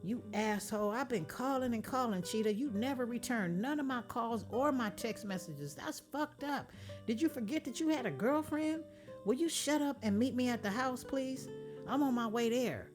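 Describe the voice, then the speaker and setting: A furious young girlfriend, as a male narrator puts her on, her voice pushed high above his own and loud as she fires off one accusation after another. She sounds sharp and wounded, and plucked guitar music plays steadily underneath. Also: very animated, close to an everyday voice. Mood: angry. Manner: scolding, rapid complaints.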